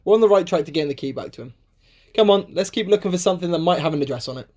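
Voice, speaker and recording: A woman's voice: fairly low pitch, lively intonation, racing, very noisy, lightly acted. A woman acting a cocky, sceptical young adventurer with sarcastic banter in an amateur radio play. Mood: excited, curious. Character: cocky, sceptical, bold young adventurer who loves to mock.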